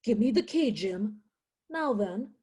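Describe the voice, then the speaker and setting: A woman's voice: fairly high pitch, animated, slow pace, very noisy. A woman playing a stubborn, indignant poor widowed mother in a theatrical radio play. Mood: urgent, bossy. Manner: indignant, insistent, dramatic.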